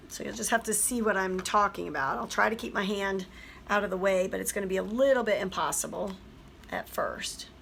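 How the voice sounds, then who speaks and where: A hoarse woman, a teacher, chattily explaining a craft project step by step. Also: medium pitch, a little fast, fairly bright tone, slight background noise. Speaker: craft tutorial host. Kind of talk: craft tutorial voice-over.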